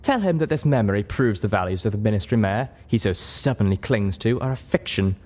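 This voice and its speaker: A man's voice: lowish voice, animated, brisk, a little mellow. A man voicing an elderly female pony commander who is stern, scornful and blunt, in a storybook reading.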